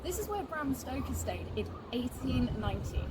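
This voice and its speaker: A woman's voice: high voice, sing-song, normal speed. A woman presenting a travel piece on location outdoors, with a theatrical storytelling manner. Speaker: presenter, audio drama writer and director. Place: outdoors in a seaside town. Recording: loud outdoor noise, traffic, sometimes distant.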